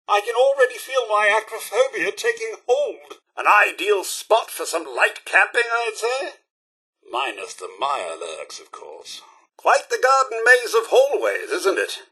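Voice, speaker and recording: An actor playing a fussy, polite British robot butler companion in a video game, with a formal, theatrical, deferential manner. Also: male voice, high-pitched, bright, forceful tone, husky, exaggerated voice.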